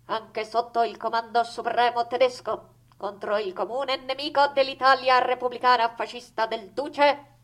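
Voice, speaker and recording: A woman playing a formal official voice that solemnly reads out a declaration, in a measured theatrical recitation. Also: high-pitched, a bit bright. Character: formal voice reading out a fascist loyalty declaration.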